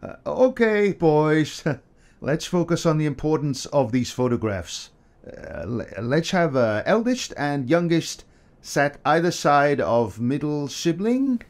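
Courteous flustered photographer, audio drama, hesitant, placating, expressive intonation. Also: male voice, a little high, takes it slowly, exaggerated voice. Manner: hesitant, conciliatory, with nervous chuckles. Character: polite, patient, slightly flustered male photographer.

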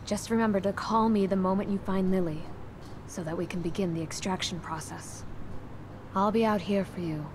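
A woman's voice: mid-range voice, moderate pace, background noise, close to an everyday voice. An actress playing a composed female operative briefing an agent in a game cutscene. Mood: earnest.

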